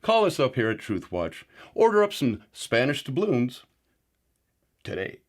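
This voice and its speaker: An actor playing a shady male gold-selling pitchman with a rambling, earnest sales manner. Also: huge pitch swings, unhurried. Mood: salesy.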